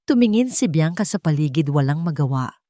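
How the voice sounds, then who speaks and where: A woman's voice: low voice, animated, fairly quick, dark tone, no echo, studio quality. A woman narrating a scripted dramatic story as a storyteller.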